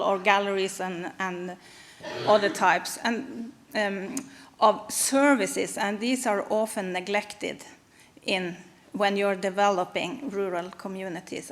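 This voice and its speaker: A woman from Norway giving a conference presentation, speaking haltingly with many pauses and fillers. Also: mid-range voice, fairly even intonation, slight background noise. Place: conference hall.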